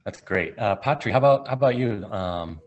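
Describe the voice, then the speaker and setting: A man moderating a video call panel, friendly and a little halting as he introduces the speakers. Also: fairly low pitch, animated, dull audio.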